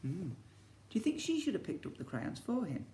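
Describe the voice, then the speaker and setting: A man's voice: high-pitched, wildly swooping pitch, brisk, dark tone. A man, a teacher reading a children's story expressively and breaking off to ask his young listeners questions. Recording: echoey room.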